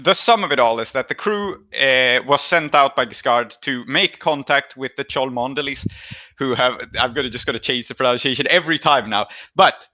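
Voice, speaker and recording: Man, bright forceful voice, dry close sound, slightly muffled track, casual explaining game master. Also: mid-range voice, normal speed, no echo. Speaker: podcast host and game master.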